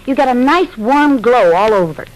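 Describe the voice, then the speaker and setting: A woman's voice: on the high side, expressive, normal speed, natural voice. An actress playing a sensible, dryly teasing wife and lady of the house in a 1940s radio sitcom. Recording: noisy vintage radio recording.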